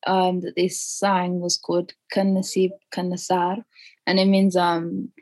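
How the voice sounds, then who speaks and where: Woman, low, very flat intonation, explanatory interview guest. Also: unhurried. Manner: explanatory, with fillers. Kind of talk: casual interview.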